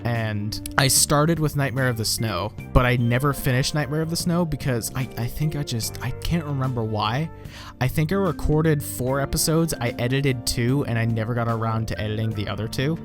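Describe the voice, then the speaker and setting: A young American man, a YouTuber, rambling and self-deprecating as he reacts to his old videos, swearing casually. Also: mid-range voice. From United States.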